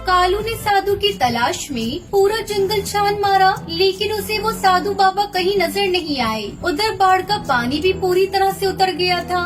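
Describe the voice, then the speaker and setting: Very high-pitched woman storyteller. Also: unhurried, few pauses. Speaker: narrator. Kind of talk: scripted children's story narration. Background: music.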